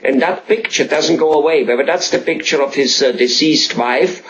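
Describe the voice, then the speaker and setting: A man with a slightly high voice and an Austrian accent speaks as an interviewer. He rambles through examples haltingly, repeating himself for emphasis. There is faint noise behind him. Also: lo-fi sound. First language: German.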